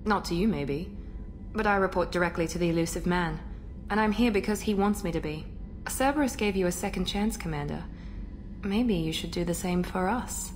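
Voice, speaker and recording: An actress playing a composed female operative in a video game, with a crisp, controlled delivery. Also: lowish voice, moderate pace, faint music behind, natural voice. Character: cool, composed female operative, loyal and precise.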